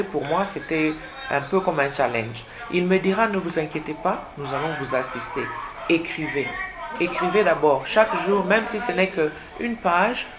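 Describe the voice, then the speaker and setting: A woman born in Congo whose first language is French, answering radio interview questions as an author, hesitant with many fillers and repeated words. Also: fairly low pitch. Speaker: guest author.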